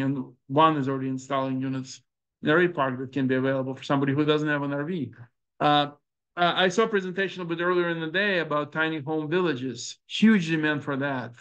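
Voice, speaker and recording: Middle-aged male founder, Ukrainian accent, smooth resonant voice, halting delivery with many fillers, slightly muffled audio. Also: medium pitch, fairly bright tone. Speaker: startup founder presenting. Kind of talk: conference presentation with audience questions.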